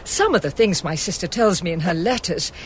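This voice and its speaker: A woman's voice: on the low side, lively intonation, a little fast, slight background noise, lightly acted. A woman playing a fretful, chatty gallery assistant. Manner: polite, anxious, chattering. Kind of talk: radio drama acting.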